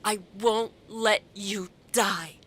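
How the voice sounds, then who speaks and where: A woman's voice: medium pitch, steady tone, extremely slow, husky, full character voice. A woman playing a grieving, self-blaming but determined mother in an audio drama monologue, speaking in a raw, confessional way. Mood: anguished. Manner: raw, confessional, swearing, swinging from hushed to intense.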